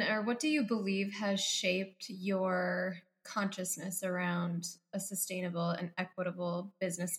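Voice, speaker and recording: A woman hosts an interview podcast in a mid-range voice. She is enthusiastic and asks long questions that stack one on another. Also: medium pitch. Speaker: podcast host. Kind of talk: interview conversation.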